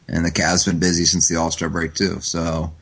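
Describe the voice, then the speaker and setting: Very deep husky man as podcast guest. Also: completely flat delivery, normal speed, fairly bright tone. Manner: earnest, analytical, many fillers. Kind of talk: casual sports chat. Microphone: phone line.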